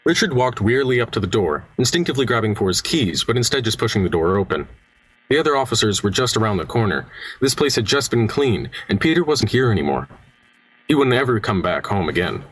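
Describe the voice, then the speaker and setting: A man's voice: lowish voice, big pitch swings, brisk, husky, rough recording. A man acting as the storyteller, reading scripted narration for an amateur radio play. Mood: somber. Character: dramatic storyteller narrating a small-town murder mystery.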